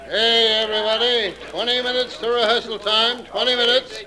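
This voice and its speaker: An actor playing a brisk, wry male theater stage manager in an old radio drama. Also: extremely high pitch, fairly even intonation. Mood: brisk, businesslike. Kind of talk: 1940s radio acting.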